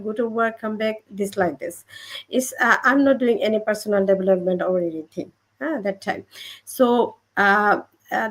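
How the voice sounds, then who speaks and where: A woman with a Bangladeshi accent speaking non-native English, giving an unscripted motivational testimony as a panel guest, halting and repeating phrases. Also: medium pitch. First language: not English.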